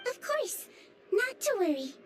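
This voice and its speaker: A gentle and principled nurse, speaking with measured earnestness. The delivery is composed and caring in anime video game style, over clearly audible music. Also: female voice, extremely high pitch, big pitch swings, heavily acted. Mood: reassuring.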